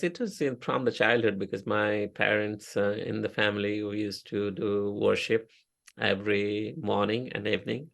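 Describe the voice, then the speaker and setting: A man's voice: on the low side, creaky, a bit bright. A middle-aged man from India with an Indian accent, a native Oriya speaker, telling his story in a casual interview with many fillers and restarts. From India (Odisha). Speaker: interview guest, software engineer.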